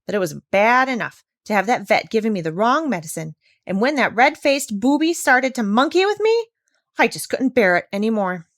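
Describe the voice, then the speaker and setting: A woman's voice: fairly high pitch, sing-song, normal speed, no room sound, clean studio sound. A woman voicing a grumbling, plain-spoken old male plow horse in a storybook reading, with an indignant, matter-of-fact way of complaining.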